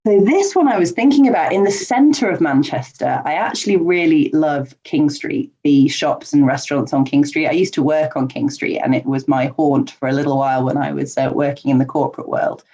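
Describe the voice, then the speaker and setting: A woman's voice: low-pitched, brisk, hardly any pauses, dark tone. A woman being interviewed on a podcast as a guest, answering in an earnest, chatty way with ums and light laughs. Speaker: podcast guest, life coach. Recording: dull lo-fi remote audio.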